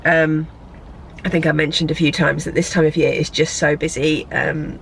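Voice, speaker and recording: A woman's voice: fairly low pitch, vocal fry, fairly bright tone, a little hiss or hum. A woman chatting casually to camera in a rambling way, a bit bunged up from a cold. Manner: rambling, chatty, self-correcting. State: congested from a cold. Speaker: lifestyle vlogger. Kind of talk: casual vlog chat. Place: parked car, then a hotel room. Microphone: camera on a tripod.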